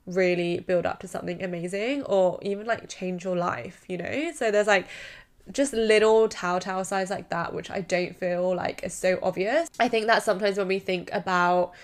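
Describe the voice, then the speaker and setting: A young woman hosts a solo podcast in a chatty, encouraging way and leans on "like" as a filler. Her voice sits a little low for a woman. She sounds slightly stuffed up in the nose. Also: normal speed. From United Kingdom. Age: 20s. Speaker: podcast host. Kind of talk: casual solo podcast advice.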